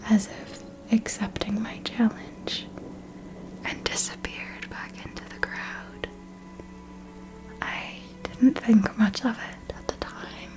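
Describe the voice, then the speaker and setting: A woman playing a seductive, condescending vampire mentor in an ASMR roleplay, whispering her storytelling with slow, deliberate, theatrical phrasing. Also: fairly high pitch, darkish tone, poor audio. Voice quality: breathy whisper.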